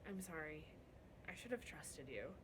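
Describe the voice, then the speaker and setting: A woman's voice: sits low, lively intonation, measured pace, background noise. A woman voicing a wry, guarded and defiant young prince in a storybook read-aloud. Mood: sincere.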